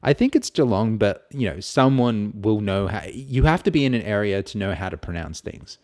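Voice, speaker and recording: A man's voice: fairly low pitch, lively intonation, normal speed, a little mellow. A young man from Australia co-hosting a casual podcast, throwing in dry joking asides, on a studio mic in a small room. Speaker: podcast co-host. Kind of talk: casual chat. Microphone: studio mic on stand.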